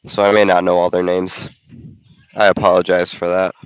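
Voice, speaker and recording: Man, congested, deep voice, dry close sound, casual game commentator. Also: normal speed, clean tone, no room sound, low-quality recording. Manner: relaxed, chatty, thinking aloud. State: congested from a cold. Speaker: volunteer game commentator and camera operator. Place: school gym during a basketball game.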